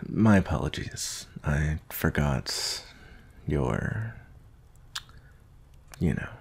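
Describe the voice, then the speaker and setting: A man playing a gruff but tender werewolf bounty hunter in an ASMR roleplay, soft-spoken and gentle, with hesitant pauses. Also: very animated, heavily acted. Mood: gentle, soothing. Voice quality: very deep, gravelly, husky.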